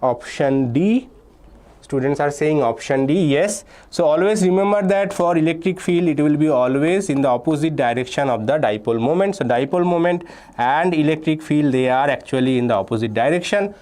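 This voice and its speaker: Young indian guy teaching physics live online, voice a bit on the high side, reads out student answers, says okay a lot. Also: animated, fairly quick, darkish tone. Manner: explains step by step, reads student names and answers from chat; frequent "uh" and "okay" fillers, repeats key points. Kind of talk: live online exam-prep class.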